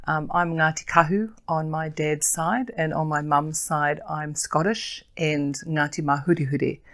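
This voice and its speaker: Older kiwi woman, earnest advocate, lots of ums, low creaky voice. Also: steady tone, a bit bright. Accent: New Zealand. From New Zealand. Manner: earnest and measured, with frequent fillers. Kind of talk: interview answers.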